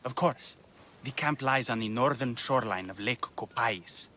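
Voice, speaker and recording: A solemn game character , acted by a man, a bereaved man set on revenge. His voice is bright and forward at a middle pitch, with bitterness in it and faint ambience behind. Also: normal speed, a little hiss or hum, natural voice. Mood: bitter, vengeful. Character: grieving, vengeful male musician who lost his brother. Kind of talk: video game dramatic acting.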